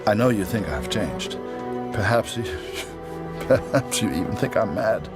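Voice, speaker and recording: An actor playing a grim, vengeful middle-aged German soldier with a German accent in a game, speaking in a brooding monologue over music. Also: male voice, on the low side, lively intonation, a little slow. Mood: obsessed, wounded. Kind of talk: dramatic game narration, letter-like monologue. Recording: music behind the voice.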